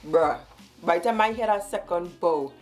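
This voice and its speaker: A woman in her twenties from Trinidad and Tobago with a Trinidadian accent, talking quickly and animatedly as she tells a story on her vlog. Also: on the low side, over music. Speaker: vlogger.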